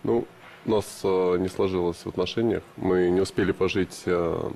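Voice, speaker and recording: A man's voice: low-pitched, fairly even intonation, normal speed, creaky, a little mellow, slight background noise. A young man answering interview questions in short, hesitant replies with many fillers. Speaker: bachelor guest.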